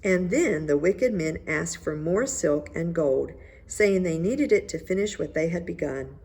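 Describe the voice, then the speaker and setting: A woman narrates a fairy tale for listeners in an expressive read-aloud style. Her voice sits a little low and swings freely in pitch, with a slightly husky, creaky edge. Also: measured pace. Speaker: storybook reader.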